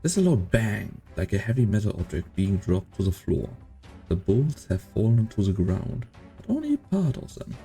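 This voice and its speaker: A man's voice: fairly low pitch, wildly swooping pitch, normal speed, gravelly, dark tone, faint music behind, heavily acted. A man playing a solemn storyteller, giving scripted dramatic narration for a science-fiction game dub. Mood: solemn, suspenseful.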